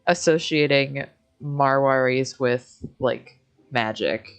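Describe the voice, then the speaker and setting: A woman with an American accent hosts a gaming stream in a low voice. She takes her time, talking slowly in loose, slangy, informal chat with a giggly streak. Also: clean tone, faint music behind. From Michigan, United States. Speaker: game livestream host. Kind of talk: casual livestream chat while gaming.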